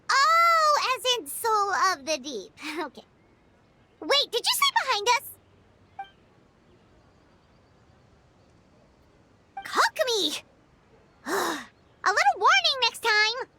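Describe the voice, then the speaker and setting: A woman voicing an excitable, childlike fairy companion in a video game, squeaky and expressive. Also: takes it slowly, pauses often, noisy background, heavily acted. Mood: surprised. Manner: expressive, exclamatory, refers to self in third person. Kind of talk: video game character acting.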